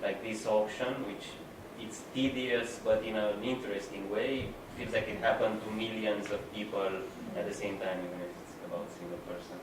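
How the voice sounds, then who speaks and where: A man's voice: fairly low pitch, level tone, moderate pace, hardly any pauses. A man in the audience asking a long, rambling question at a film Q&A. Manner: rambling, filler-heavy questioning. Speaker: audience member. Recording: echoey hall, distant, noisy.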